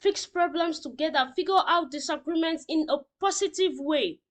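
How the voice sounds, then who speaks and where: A woman's voice: very high voice, moderate pace. A woman teacher reading out lesson points and explaining them simply, often checking that learners understand.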